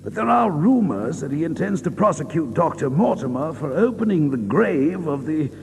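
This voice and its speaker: An actor playing an earnest, thoughtful gentleman doctor, measured and formal in an audiobook drama. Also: on the high side, sing-song, a little hiss or hum. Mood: measured, reflective. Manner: measured, literary, formal phrasing. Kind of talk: dramatized audiobook narration and radio-play acting. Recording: long reverb, music underneath.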